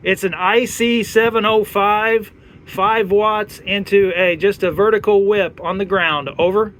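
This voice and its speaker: A man's voice: sits high, fairly even intonation, unhurried, a bit bright. A man doing a casual hands-on amateur radio demonstration and making radio calls, recorded in the field. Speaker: amateur radio operator and video maker. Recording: noisy field recording, vehicle-like ambient noise.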